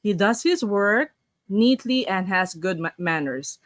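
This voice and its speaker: A woman's voice: medium pitch, lively intonation, unhurried, no echo, studio quality. A woman from the Philippines, hosting a casual online session and reading a story aloud with restarts. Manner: chatty, warm-up greetings, frequent restarts while reading. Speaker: host.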